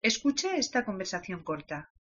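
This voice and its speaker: Lively woman giving lesson prompts. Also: mid-range voice, brisk. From Valencia, Spain. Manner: giving clear instructions to learners. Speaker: language course presenter. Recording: dull lo-fi audio.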